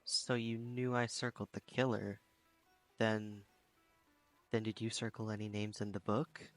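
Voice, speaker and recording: Man acting an expressive, easily exasperated young guy. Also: fairly low pitch, measured pace, dry recording, soft background music, lightly acted. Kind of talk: fan-dub game character voice acting.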